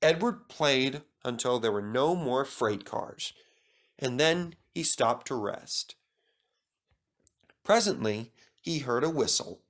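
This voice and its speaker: A man narrates a children's storybook at an unhurried, slow pace. His delivery is very animated, rising and falling widely in pitch as a storyteller reading from a script.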